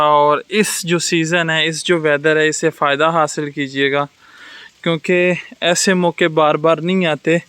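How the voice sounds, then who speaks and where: A man's voice: fairly high pitch, a bit bright, clean tone, no echo. A man vlogging in a casual, reflective, advice-giving way, mixing English words into his speech. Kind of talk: casual chat to camera. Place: outdoors in rainy weather.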